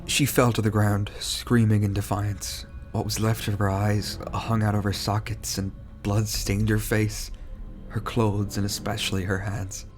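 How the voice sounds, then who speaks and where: A man with a stuffy cold voice narrating a horror story in a measured, slow, dramatic delivery. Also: fairly low pitch, level tone. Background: music.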